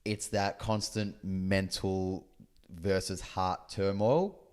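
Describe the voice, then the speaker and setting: A man in his twenties co-hosting a podcast, friendly and easygoing, steering the casual chat. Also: low voice. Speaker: podcast co-host.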